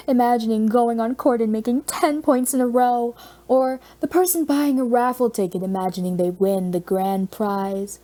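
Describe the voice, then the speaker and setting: A woman reading scripted fiction as an audiobook narrator, with expressive storytelling. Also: on the high side.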